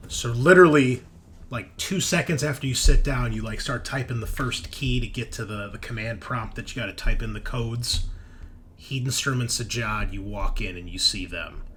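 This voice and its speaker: A man runs a tabletop roleplaying game as game master. He narrates scenes in a conversational, improvised way and checks in with players, at a middling pitch, with some background noise. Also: moderate pace, fairly bright tone. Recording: noisy background ambience.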